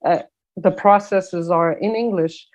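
A woman's voice: fairly low pitch, fairly even intonation, measured pace, darkish tone, muffled recording. Canadian lady chatting, asks lots of questions, casual. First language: French. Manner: curious, questioning. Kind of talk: casual conversation.

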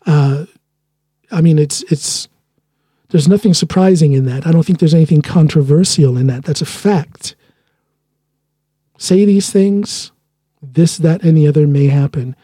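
Earnest man with a dark husky voice. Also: on the high side, measured pace, pauses often, no room sound. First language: English. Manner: earnest, restarts and trails off often. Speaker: podcast host. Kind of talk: solo podcast monologue.

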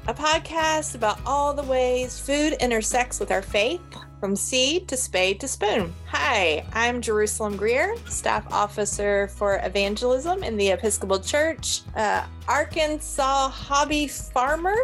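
Female podcast host, fairly high voice, unhurried conversational delivery. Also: animated, a bit bright, faint music behind. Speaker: podcast host, church staff officer.

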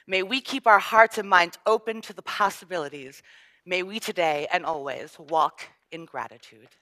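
A woman's voice: on the low side, normal speed, sharp tone. A woman leading a congregation through a shared reading, encouraging and playful with participants. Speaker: service leader.

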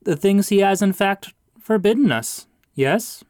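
A booming, pompous pastor preaches in a high, lifted voice, stretching out his words with great self-importance, as a man puts him on. The tone is smooth and resonant, and the recording is dry and close. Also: animated, measured pace, darkish tone, no room sound. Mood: sanctimonious.

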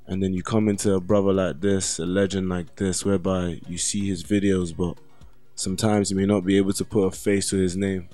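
A man's voice: low voice, flat delivery, fairly quick, dark tone, soft background music. A man, speaking conversationally as an interview host.

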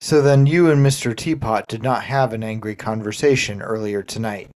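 A man's voice: on the low side, darkish tone, natural voice. Man playing a patient, probing detective. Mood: insistent. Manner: measured, polite, insistent questioning. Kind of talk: dramatic reading of comic murder mystery.

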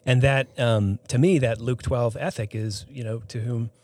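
A middle-aged man from the Boston area, a physician and health center CEO, answering interview questions thoughtfully with many pauses and restarts. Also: medium pitch, fairly even intonation, darkish tone, no room sound. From Boston area, United States. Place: studio.

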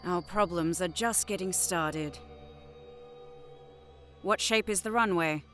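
Woman acting a slow, cold, menacing commissar. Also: fairly low pitch, fairly bright tone, soft background music. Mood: commanding. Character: stern, menacing female military commissar. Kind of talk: sci-fi war audio drama acting.

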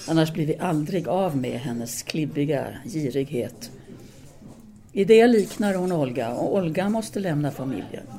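An actress playing a possessive, commanding French intellectual woman voicing a letter to her lover in an audio drama, measured, formal and insistent. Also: deep voice, warm tone. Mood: firm, impatient. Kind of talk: audio drama monologue, letter read aloud.

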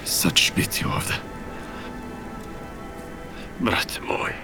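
A man's voice: drawn-out words, whispered, music in the background. A man playing a grieving prisoner of war mourning a brother, mournful and intense, in an audio drama. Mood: anguished grief.

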